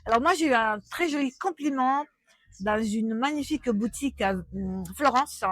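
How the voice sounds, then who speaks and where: A woman's voice: a little high, normal speed, no room sound. A woman from Rome, a native Italian speaker, chatting in a familiar, anecdotal way as she conducts a literary interview. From Rome, Italy.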